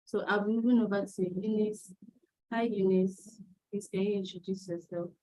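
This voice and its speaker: A woman's voice: mid-range voice, fairly even intonation, moderate pace, dark tone, rough recording. A woman moderating an online panel for her firm, sprinkling her speech with frequent "um"s. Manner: filler-heavy, with frequent "um"s. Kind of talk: webinar moderation.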